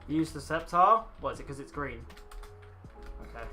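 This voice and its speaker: A man's voice: fairly high pitch, animated, normal speed, pushed and bright, soft background music. A man in his twenties casually chatting and rambling to viewers while streaming a game. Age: 20s. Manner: rambling, chatty, swears casually, talks to chat. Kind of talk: casual live-stream chat.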